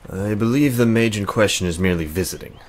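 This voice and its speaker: Casting: male actor as grim, absent-minded necromancer, very low voice, curt delivery, visual novel game. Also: fast talker, close to an everyday voice. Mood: preoccupied, measured. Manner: curt, preoccupied.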